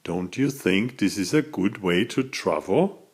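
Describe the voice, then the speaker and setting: A man reading a children's story aloud as a narrator, in a deliberate, dramatic storytelling manner. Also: on the low side, animated, slightly rough. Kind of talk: expressive scripted storybook narration.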